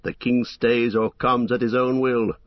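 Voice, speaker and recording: A man voicing a noble warrior who speaks calmly, firmly and in a measured way, on a dull lo-fi recording. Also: medium pitch, dry recording, close to an everyday voice. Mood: commanding. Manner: calm, firm, measured. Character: noble male warrior-king, calm, commanding.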